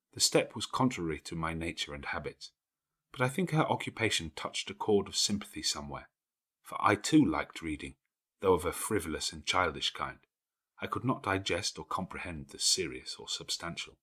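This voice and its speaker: A man reading a classic novel as an audiobook, in measured, expressive storytelling. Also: sits low, husky. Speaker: audiobook narrator. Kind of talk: scripted literary narration of a classic novel.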